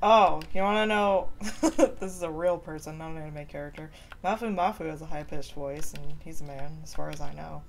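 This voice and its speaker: A woman streaming a game from home, chatting with viewers in a relaxed, giggly way. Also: low-pitched, brisk, vocal fry, a little hiss or hum. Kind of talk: casual chat while gaming. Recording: faint keyboard typing behind.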